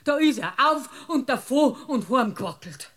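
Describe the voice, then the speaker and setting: A woman's voice: high voice, big pitch swings, moderate pace, fairly bright tone, exaggerated voice. A woman with a Bavarian accent playing a brazen, talkative village witness in a comic radio drama, folksy and blunt. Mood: aggrieved. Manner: folksy, blunt, aggrieved storytelling.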